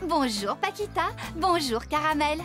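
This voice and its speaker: Une comédienne qui joue une fermière enjouée et attentionnée, au ton expressif et amical, dans un dessin animé pour enfants. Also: female voice, sits high, lively intonation, a little slow, fairly bright tone, faint music behind. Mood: cheerful. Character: cheerful, caring adult woman farmer. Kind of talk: children's cartoon dub acting.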